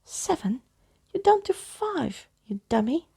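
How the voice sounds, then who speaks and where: A woman's voice: high-pitched, big pitch swings, slow pace, vocal fry, mellow, voice pushed up, exaggerated voice. A woman voicing a fierce, scornful girl in a children's storybook, snapping impatiently and hurling insults. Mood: exasperated.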